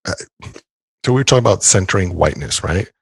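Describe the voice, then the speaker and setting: A man's voice: low voice, animated, quick pace, creaky, breathy edge, dry recording. A man co-hosting a podcast, talking in a thoughtful, rambling way with many restarts. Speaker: podcast co-host. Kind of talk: casual podcast conversation.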